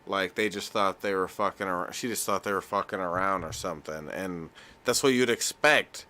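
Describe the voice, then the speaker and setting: A man's voice: low voice, moderate pace, pushed and bright, a little hiss or hum. A man co-hosting a podcast, giving casual true-crime commentary in a hesitant, joking way. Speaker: podcast co-host.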